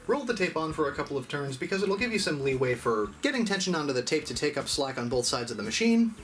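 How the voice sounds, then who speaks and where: A man's voice: a little high, very animated, quick pace, fairly bright tone, faint music behind. A man narrating a casual, step-by-step tutorial in a conversational, mildly self-deprecating way. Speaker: host of an audio gear channel.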